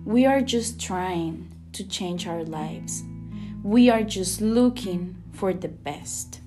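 A woman's voice: mid-range voice, slow pace. A woman from Rica, earnestly reading a scripted spoken piece. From Costa Rica. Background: guitar music.

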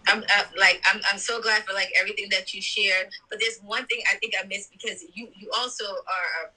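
A woman's voice: mid-range voice, fairly even intonation, fairly quick, bright, forceful tone, rough recording. A middle-aged mother interviewing a guest, gushing and enthusiastic. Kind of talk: casual interview.

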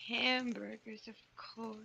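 High-pitched, overwrought frightened wife. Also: female voice, flat delivery, takes it slowly, gravelly, bright, forceful tone, slightly rough, lo-fi sound, exaggerated voice. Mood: anxious, bewildered. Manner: overwrought, breathless exclamations. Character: frightened wife waking from a murder nightmare. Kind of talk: old-time radio drama acting.

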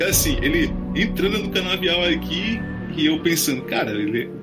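A man's voice: a little high, expressive, normal speed. A Brazilian man hosting a casual, humorous chat in jokey, very slangy speech. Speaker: podcast host. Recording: clear music bed behind the voice. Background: instrumental music.

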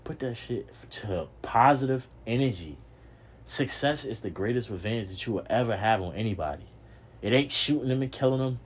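A man's voice: mid-range voice, expressive, normal speed, breathy edge. A young man hosting a podcast, giving a preachy motivational monologue, repeating himself and swearing casually. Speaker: podcast host.

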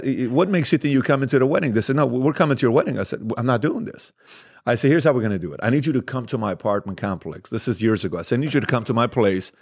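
A man in his thirties, originally from Iran, with Armenian as his first language, telling casual stories as a podcast guest with laughter and quoted dialogue. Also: mid-range voice. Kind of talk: casual storytelling interview.

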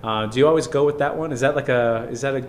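A man's voice: mid-range voice, fast talker, a little hiss or hum. A man hosting a conversational interview, asking questions in a friendly way and answering with short sounds of agreement. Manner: friendly, with short backchannel replies. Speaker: interviewer, host.

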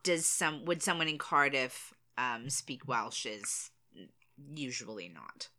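A woman's voice: rumbling low, expressive, bright, forceful tone. A woman co-hosting a casual chat, listening closely, putting in questions and laughing easily. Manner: attentive listener, quick to laugh. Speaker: podcast co-host.

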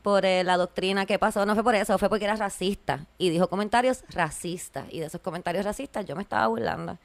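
A woman's voice: mid-range voice, fast, gravelly, no room sound. A woman, hosting a podcast in casual chat, laughing often and mixing in English words. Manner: chatty, laughing, mixes English words into Spanish. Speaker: podcast host.

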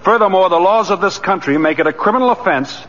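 An actor playing an earnest, persistent defense lawyer with formal delivery in a 1940s radio drama. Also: male voice, high-pitched, lively intonation, quick pace, a bit bright. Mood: firm, formal. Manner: formal, oratorical. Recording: dull old radio recording, faint noise.

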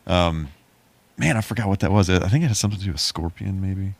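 Male podcast co-host, deep-voiced, relaxed and conversational, dry studio-like sound. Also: fast talker, creaky, no echo. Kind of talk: casual chat about movies.

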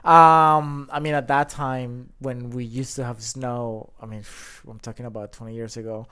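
A man's voice: mid-range voice, moderate pace, clean tone. Chatty Colombian man in his thirties. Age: 30s. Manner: animated, rambling, many fillers. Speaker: podcast guest. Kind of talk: casual storytelling chat.

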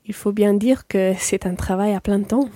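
A woman's voice: mid-range voice, normal speed, warm tone, dry recording, clean studio sound, close to an everyday voice. A woman playing a friendly working mother chatting over dinner in a scripted language-course radio play. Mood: conversational.

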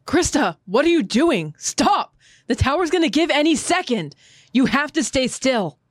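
A man's voice: big pitch swings, moderate pace, no echo. A man voicing a blunt, sardonic young woman soldier in a raised, dry and mocking tone. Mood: urgent. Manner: low-key, dry and mocking.

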